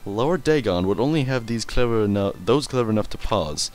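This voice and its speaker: A man's voice: on the low side, animated, a little fast. A man doing casual let's play commentary, rambling, swearing casually and stumbling over hard words as he reads. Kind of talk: casual gameplay commentary and reading in-game text aloud.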